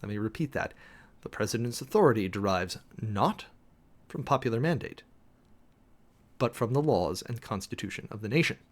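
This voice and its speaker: A man giving a dramatic reading and close commentary in a formal, deliberate, sermon-like manner with emphatic pauses. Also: lowish voice, expressive. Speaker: preacher and commentator hosting a show.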